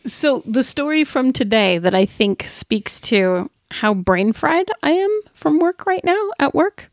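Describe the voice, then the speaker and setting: Woman, 30s, high-pitched and giggly, animated casual podcast storyteller, close dry sound. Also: unhurried, no echo. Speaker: podcast co-host. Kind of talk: casual chat.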